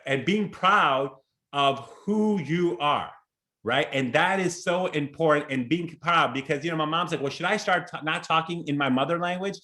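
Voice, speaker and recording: A man with a fairly high voice delivers a keynote over a video call. He speaks earnestly and conversationally, often restarting phrases and tossing in a quick "right?" to his listeners. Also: pushed and bright. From Chicago.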